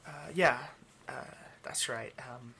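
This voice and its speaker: A man's voice: medium pitch, takes it slowly, sharp tone, slight background noise. A man playing a nervous, obsessive vampire lab technician in an intimate ASMR roleplay, with hesitant, stammering, overly polite delivery. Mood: secretive, earnest.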